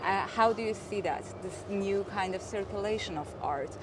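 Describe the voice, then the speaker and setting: An interviewer with a mid-pitched voice asks long, searching questions, stumbling through fillers and restarts. Clear traffic and city noise sit behind the voice outdoors. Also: moderate pace. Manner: hesitant, many fillers. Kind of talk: casual interview. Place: outdoors at an art exhibition.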